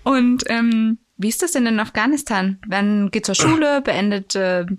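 A woman's voice: fairly high pitch, a little slow, dry recording. Joking woman podcast host. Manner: friendly, joking questions. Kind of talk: casual interview.

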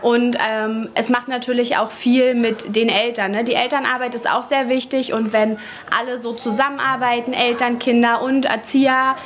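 A woman who works as a nursery teacher answers earnestly and pauses often on fillers. Her voice sits a little high. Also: flat delivery. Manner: earnest, many ähm fillers. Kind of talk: interview answers. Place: daycare centre.

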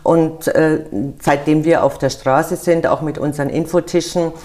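An older woman from Munich speaks in a notably low voice, with a Bavarian accent. She talks directly and casually as an interview guest, often pausing on fillers. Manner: direct, lively, with frequent fillers. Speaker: interview guest, activist group organizer. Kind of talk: conversational interview.

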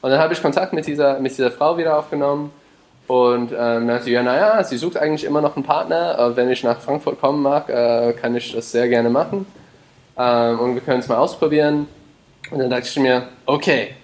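A man's voice: mid-range voice, fairly even intonation, fairly quick, a little mellow. Hesitant man. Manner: hesitant, many fillers and restarts, mixes in English words. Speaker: podcast guest, startup founder. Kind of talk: casual interview talk.

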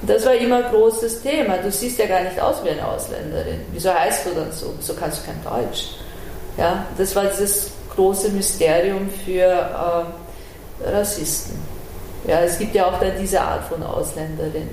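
A woman's voice: fairly low pitch, normal speed, dark tone. A middle-aged woman from Iran who grew up in Vienna, speaking as an interview guest, frank and reflective in long run-on sentences. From Iran, raised in Vienna. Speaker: interview guest, artist and activist. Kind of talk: conversational interview. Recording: roomy echo, faint ambient noise.

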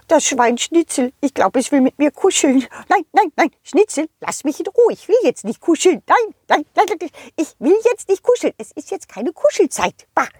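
A woman voicing a small, excitable, silly male bird with chirping interjections in a children's audio story. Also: extremely high pitch, no echo, clean studio sound, pitched above the performer's own voice. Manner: chirping interjections, giddy exclamations.

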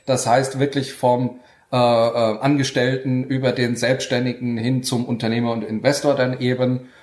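Man, podcast guest, fluent storyteller, mid pitch, roomy echo. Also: flat delivery, normal speed, a little mellow. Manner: fluent storytelling with many fillers. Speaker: guest, real estate investor. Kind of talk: podcast interview.

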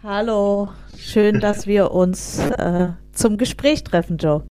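A woman's voice: mid-range voice, takes it slowly, mellow, clean tone, no room sound. A woman in her thirties from Berlin, answering conversationally as an interview guest and local politician. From Berlin, Germany.

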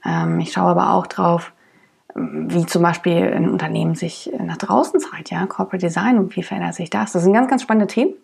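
A woman's voice: lowish voice, fairly even intonation, brisk, creaky, darkish tone, husky. A woman hosting her own podcast, talking alone in a chatty way with lots of ums, her nose stuffed up from a cold. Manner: chatty, many ums and restarts. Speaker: podcast host. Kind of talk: solo podcast monologue.

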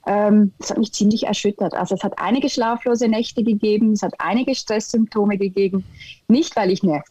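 A woman's voice: medium pitch, moderate pace, mellow, slightly rough, no echo. Young woman, Swiss German accent, hesitant, many fillers, dry, slightly dull lo-fi sound. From Switzerland. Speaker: interview guest, integration specialist. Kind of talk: reflective interview answers.

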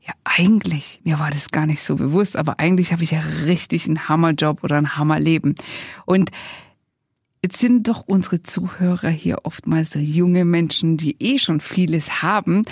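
A woman's voice: fairly low pitch, a little mellow, no echo, clean studio sound. A woman hosting a conversational interview, reflective and encouraging, building up to long questions. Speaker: podcast host.

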